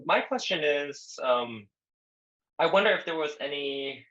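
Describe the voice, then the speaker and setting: A man from the audience asking a question in an online lecture, hesitant and halting. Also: on the high side, lively intonation, bright, forceful tone, lo-fi sound. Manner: hesitant, halting, many fillers. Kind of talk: online academic Q&A. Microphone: video call.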